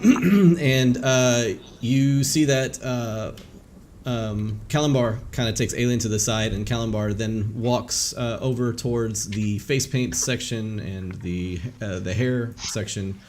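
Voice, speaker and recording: A man narrating as game master of an online tabletop game, casual and halting, with many fillers. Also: mid-range voice, fairly even intonation, a little mellow, slight background noise. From English-speaking country.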